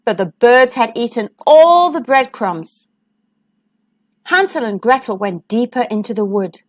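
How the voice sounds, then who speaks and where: High, lively, unhurried woman storyteller. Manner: expressive, deliberate storytelling. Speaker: children's storyteller, channel host. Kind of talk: read-aloud storybook narration for young children.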